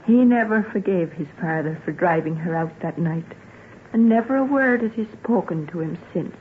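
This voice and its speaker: A woman's voice: lowish voice, animated, normal speed, close to an everyday voice. An actress playing a plain-spoken, sorrowful woman in an old-time radio drama. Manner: earnest rustic dialect storytelling. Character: plain-spoken sorrowful country woman, devoted to her family. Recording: tinny, noisy old radio recording.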